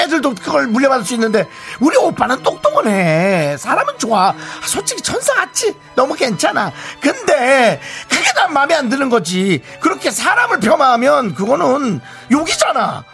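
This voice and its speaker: A man acts out an indignant wife in a comic radio sketch. The voice is high and gravelly, swinging up and down with whiny, theatrical grievance, over faint music. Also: wildly swooping pitch, normal speed, few pauses, fairly bright tone, exaggerated voice. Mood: defensive indignation. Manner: dramatic, whiny, indignant complaining. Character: aggrieved, touchy wife venting about, then defending her husband. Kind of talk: comic radio skit of a listener's story.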